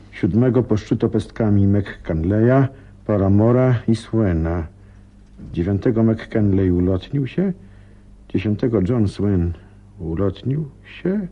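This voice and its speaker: A curious, obliging companion to a detective for radio drama. His voice is fairly low and husky with very mellow tone, and he sounds eager as he works things out. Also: lo-fi sound. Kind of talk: Polish radio drama acting.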